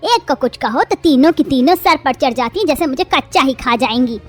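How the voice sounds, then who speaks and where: A woman voicing a harsh middle-aged mother-in-law in an animated family drama, hurling shrill insults. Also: a little fast, faint music behind. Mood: furious. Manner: shrill, insulting, shouting tirades.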